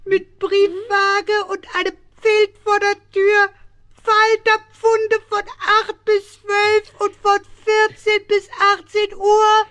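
A man voicing a naive, excitable little penguin with a speech defect in a children's radio play. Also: very high voice, slow, full character voice. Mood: proud. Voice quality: speech defect turning sh sounds into pf.

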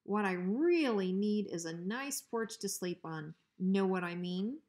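A woman's voice: medium pitch, lively intonation, unhurried, a little mellow, close to an everyday voice. A woman plays a big, sloppy bully of a Rottweiler in a storybook. He taunts in folksy slang and mocks with menace. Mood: mock innocent. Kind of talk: children's storybook reading.

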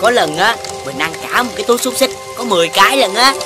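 A woman's voice: mid-range voice, expressive, fast, lightly acted. Casting: chubby, cheeky, greedy kid for animation played by a woman, medium register, music underneath. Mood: cheeky, blunt. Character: big-bellied, blunt, gluttonous little child. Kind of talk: children's animated cartoon voice acting.

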